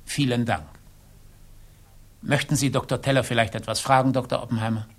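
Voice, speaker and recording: A man's voice: medium pitch, fairly even intonation, brisk, lightly acted. An actor playing a courteous, formal chairman running an official hearing in a German radio play. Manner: formal, ceremonious.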